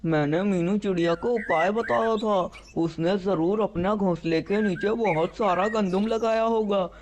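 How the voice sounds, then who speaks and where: A man's voice: high voice, unhurried, a little mellow, slight background noise. A man voicing a lazy, greedy, scheming male crow in a children's cartoon, exclaiming and repeating words as he talks to himself. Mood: whining hunger. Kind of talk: children's cartoon story dialogue.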